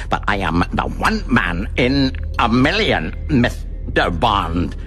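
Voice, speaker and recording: An actor playing a cold, boastful, menacing criminal mastermind in a radio drama, with theatrical, deliberate delivery. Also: male voice, low voice, vocal fry, bright, forceful tone, full character voice. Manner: slow, deliberate, theatrical, grandiose.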